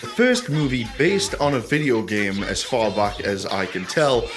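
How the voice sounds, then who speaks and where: A man's voice: on the low side, animated, measured pace. A man hosting a comic scripted video essay in a theatrical, sweary detective style. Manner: theatrical, sweary, swings into exasperated yelling. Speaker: host of a video essay show. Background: music bed.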